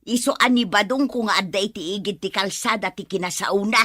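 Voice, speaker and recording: A woman's voice: medium pitch, brisk, a bit bright, dry recording, clean studio sound, natural voice. A woman playing a chatty, gossipy village neighbour in an Ilocano comedy audio drama.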